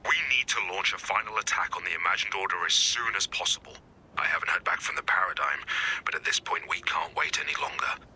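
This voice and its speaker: An actor playing a grave, weary resistance leader in a video game, speaking in a solemn, urgent, measured way with a breathy voice. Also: male voice, sits low, fairly even intonation, sharp tone, a little hiss or hum, rough recording. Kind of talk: video game mission dialogue.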